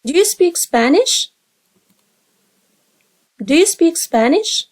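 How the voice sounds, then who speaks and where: Female English teacher with a high voice, leading repeat-after-me practice. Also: big pitch swings. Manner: slow, carefully spaced drill reading. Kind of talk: repeat-after-me dialogue drill.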